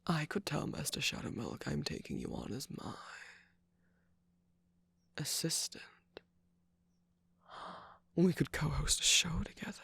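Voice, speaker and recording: A performer voicing a smug, seductive villainous cookie radio host in an ASMR romantic roleplay, slow, coaxing and teasing, with a breathy, gravelly voice that often drops to a whisper. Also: medium pitch, no echo, full character voice. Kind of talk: ASMR romantic roleplay, scripted character acting. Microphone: close microphone, dry.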